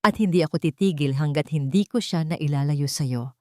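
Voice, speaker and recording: Isang babaeng gumaganap bilang walang takot at palabang batang lalaking doktor, matatag at diretso magsalita sa kuwentong drama. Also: female voice, low voice, moderate pace, no room sound, clean studio sound, natural voice. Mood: fearless accusation. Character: fearless, defiant young male resident doctor.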